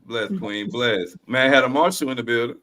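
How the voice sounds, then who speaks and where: A man hosting a podcast, opening the show with energetic, slangy banter. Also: normal speed. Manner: energetic, slangy, lively shifts in pitch. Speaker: podcast host. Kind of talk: hype podcast intro.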